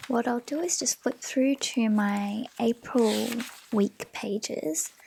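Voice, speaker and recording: An Australian woman talking through her planner pages in a gentle, often half-whispered way. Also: fairly high pitch, slow, vocal fry, a little hiss or hum. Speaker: planner vlogger. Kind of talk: soft-spoken planner flip-through. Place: home desk.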